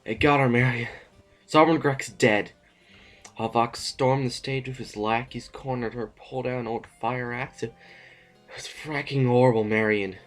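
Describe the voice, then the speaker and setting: A man's voice: medium pitch, fairly even intonation, normal speed, fairly bright tone, lightly acted. Stammering, anxious nobleman acted by a man, music underneath. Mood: panicked, urgent. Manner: stammering, urgent. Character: anxious, frightened male nobleman fleeing a violent uprising. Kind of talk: audio drama acting.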